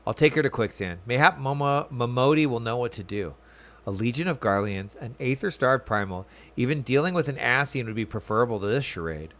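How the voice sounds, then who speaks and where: A man's voice: medium pitch, expressive, a little fast, a bit bright, close to an everyday voice. A man voicing an earnest, kindly but self-righteous young noble from a game, with formal, archaic phrasing. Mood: weary, grave. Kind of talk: reading game dialogue aloud.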